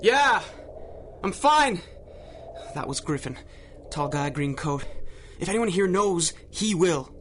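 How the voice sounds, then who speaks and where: An actor playing a nervous, whining low-level gangster in a radio drama, with stammering, slangy tough-guy talk. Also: animated, takes it slowly, pauses often, a bit bright, slight background noise, heavily acted. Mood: flustered. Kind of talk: old-time radio drama acting.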